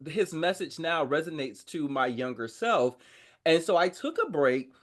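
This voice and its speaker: A man speaking as a podcast guest, telling his own story in a casual, informal, animated way and often interrupting himself. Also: fairly high pitch. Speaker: podcast guest, army veteran. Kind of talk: casual conversational personal storytelling.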